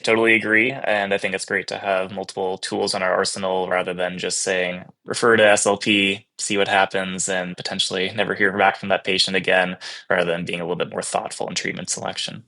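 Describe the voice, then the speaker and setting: A man with a lowish, distinctly gravelly voice and little pitch movement. He talks as a physician researcher being interviewed, matter-of-fact and agreeable. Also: level tone, brisk, bright, forceful tone. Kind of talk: podcast interview, discussing research.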